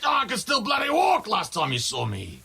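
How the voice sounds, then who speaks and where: Very raspy, gruff older father. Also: high-pitched, huge pitch swings, moderate pace, bright, forceful tone, shouting, exaggerated voice. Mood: gruff, scoffing. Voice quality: raspy, gravelly. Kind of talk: audio drama acting.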